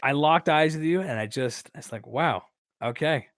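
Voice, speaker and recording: A man in his early twenties telling a story in a casual podcast style, rambling and halting, often repeating himself and swearing. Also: a little high, fairly bright tone, no echo, clean studio sound. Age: early 20s. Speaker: podcast host.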